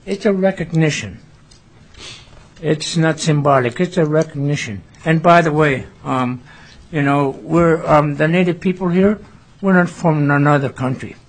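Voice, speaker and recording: A man from Alaska testifying at a legislative hearing, earnest and full of pauses and fillers. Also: fairly high pitch.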